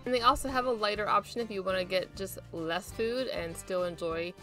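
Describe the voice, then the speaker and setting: A woman's voice: mid-range voice, a little fast, faint music behind. Bubbly woman vlogging from a buffet. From Pennsylvania. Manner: bubbly, excited exclamations. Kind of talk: casual food vlog. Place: busy buffet restaurant. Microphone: handheld camera.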